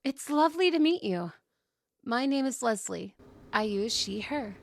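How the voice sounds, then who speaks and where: A woman's voice: a little high, expressive, unhurried, vocal fry, no echo, clean studio sound, exaggerated voice. A woman playing an outgoing, cheerful young woman in a scripted audio roleplay, telling stories in a chatty, playful way. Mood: friendly. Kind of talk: scripted ASMR roleplay acting.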